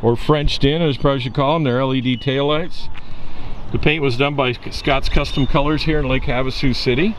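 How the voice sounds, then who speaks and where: A man's voice: mid-range voice, moderate pace, a little hiss or hum. Easygoing man showing off a car. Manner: easygoing, steady listing of features with fillers. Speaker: car dealer giving a walkaround. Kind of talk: casual walkaround car presentation. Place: outdoors in a park parking lot.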